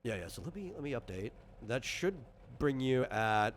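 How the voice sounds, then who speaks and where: Man, average pitch, game master, casual rules talk, thinks aloud. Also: very animated, moderate pace, slight background noise.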